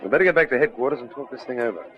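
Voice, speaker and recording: An actor playing a calm, firm detective sergeant, measured, dryly courteous and politely insistent, in an old radio drama. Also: male voice, medium pitch, over a noisy crowd, close to an everyday voice. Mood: brisk. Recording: dull, noisy old radio drama recording.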